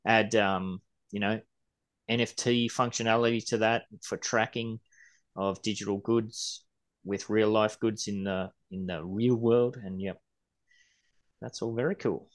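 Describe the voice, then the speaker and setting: A man's voice: lowish voice, fairly even intonation, a little slow. A man in his forties co-hosting a podcast, casually reading news articles aloud and riffing on them. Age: 40s. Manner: reads quotes aloud then riffs on them. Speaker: podcast co-host.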